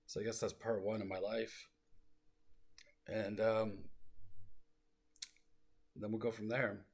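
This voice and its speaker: A man rambling through his own life story in a soft, low voice he calls soothing, full of fillers and asides. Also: little pitch movement, takes it slowly, husky. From South Lake Tahoe, California. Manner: rambling, self-deprecating, full of fillers and digressions.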